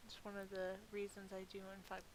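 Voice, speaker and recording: A middle-aged woman hosts a gameplay video, chatting casually and thinking aloud with a self-amused air. Her voice sits at an average pitch for a woman. There is a noticeable hiss on the recording. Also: monotone, fairly quick. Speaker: gaming video host. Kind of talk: casual rambling gameplay commentary. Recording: clearly audible background hiss.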